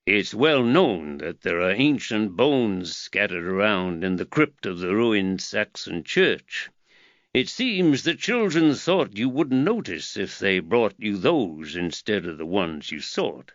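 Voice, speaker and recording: A man voicing a calm, soft-spoken older foreign shopkeeper with clear diction. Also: lowish voice, slow, no room sound, muffled recording.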